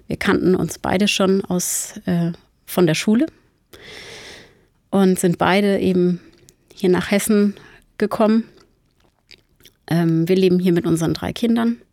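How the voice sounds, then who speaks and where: A woman in her thirties introducing herself in a relaxed, slightly hesitant way. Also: lowish voice, creaky, no echo. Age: 30s. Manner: hesitant, with fillers. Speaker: documentary interviewee. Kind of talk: casual self-introduction.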